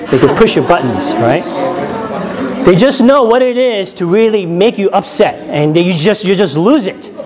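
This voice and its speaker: A New York–born man preaching in a relaxed, chatty way, tossing "right?" back to the room again and again. His voice sits a bit high for a man, and he chuckles at his own anecdotes as he goes. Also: normal speed. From New York, USA. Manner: chatty, often checks in with "right?"; laughs at his own stories. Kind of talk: conversational sermon.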